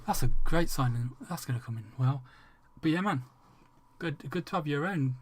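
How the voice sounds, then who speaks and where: A man's voice: a little high, moderate pace. Rambling, swearing English streamer man. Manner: rambling, swearing freely, frequent restarts. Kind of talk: casual livestream chat with viewers.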